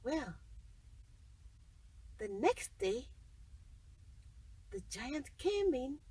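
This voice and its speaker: A woman tells a children's bedtime story in short, deliberate phrases. Her voice is high and lively in pitch, with a husky edge. The recording sits slightly distant. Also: dry recording.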